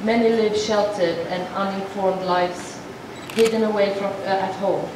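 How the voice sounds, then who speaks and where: A woman voicing a formal speech to an assembly as a sign language interpreter, measured and halting, with frequent restarts. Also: mid-range voice, fairly even intonation. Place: large assembly hall. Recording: echoey hall from far off, noisy.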